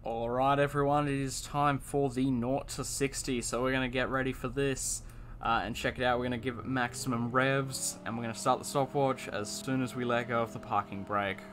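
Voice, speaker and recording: A man's voice: medium pitch, little pitch movement, moderate pace, a bit bright, slight background noise. A young man giving casual, enthusiastic commentary while reviewing a car in a video game, with lots of fillers and repeated words.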